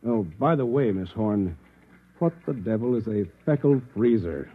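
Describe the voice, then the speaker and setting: An actor with an American accent playing an uneasy, puzzled married accountant in an old-time radio drama, speaking in a husky, hesitant way. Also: male voice, on the low side, lively intonation, moderate pace, slight background noise, natural voice. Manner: hesitant, brooding, questioning. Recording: muffled phone-like old radio recording.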